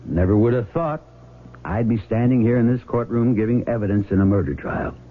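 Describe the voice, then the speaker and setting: A man's voice: mid-range voice, natural voice. Man playing tinny-radio folksy small-town sheriff. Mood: troubled, halting. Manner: halting, repeats himself, folksy. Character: small-town sheriff, earnest, folksy, troubled family friend. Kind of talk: 1970s radio drama acting. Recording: narrow tinny vintage radio broadcast, noisy.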